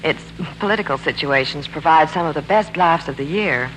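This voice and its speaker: A woman's voice: low-pitched, brisk, fairly bright tone. A woman reporter in scripted 1940s radio banter and advertising, polished and enthusiastic. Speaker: Hollywood reporter and product pitchwoman. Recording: noisy, humming 1940s radio broadcast.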